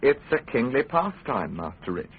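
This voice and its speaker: An actor playing a sly, needling royal official with a mock-genial, insinuating manner in a radio drama. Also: male voice, medium pitch, very animated, normal speed, slight background noise. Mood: amused, needling. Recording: tinny, band-limited radio drama recording.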